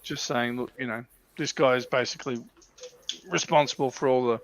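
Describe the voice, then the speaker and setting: A man's voice: medium pitch, fairly even intonation, fairly quick. A man chatting casually as a regular on a hobby show.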